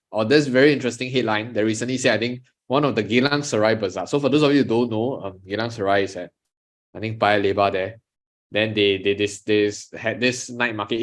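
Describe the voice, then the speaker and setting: A man with a Singaporean English accent, co-hosting a podcast and steering the chat in a measured, analytical way. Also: fairly low pitch. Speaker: podcast co-host. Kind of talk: casual chat.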